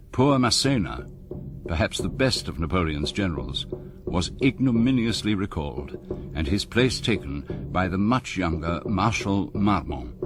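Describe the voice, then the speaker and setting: A man giving measured, formal scripted narration for a historical radio drama. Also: deep voice. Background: music.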